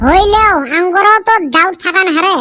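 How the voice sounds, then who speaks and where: A woman's voice: very high-pitched, steady tone, normal speed, noisy background. A woman voicing cheeky, scheming village schoolboys in a comedy cartoon, arguing and wheedling with mock innocence in a Rajbongshi accent. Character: cheeky, scheming village schoolboy pupils, high-pitched and childlike. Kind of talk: Bengali comedy cartoon dubbing.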